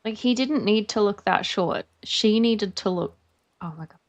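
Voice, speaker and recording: A woman's voice: mid-range voice, normal speed, lo-fi sound. A young Australian woman chatting casually while streaming a game, rambling with lots of fillers.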